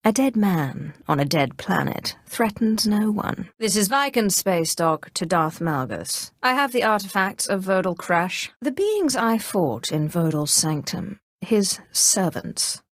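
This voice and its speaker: Imperious, coolly menacing dark sorceress. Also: female voice, medium pitch, sing-song, a little slow, hardly any pauses, no room sound, studio quality. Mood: haughty, assured. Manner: commanding, haughty, controlled, theatrical. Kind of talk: scripted video game voice acting.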